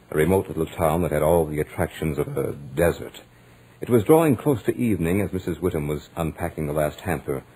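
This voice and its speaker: An actor playing a self-assured, studious young gentleman narrating his own tale in measured, literate 1940s radio drama style. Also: a bass voice, slightly rough, exaggerated voice, poor audio.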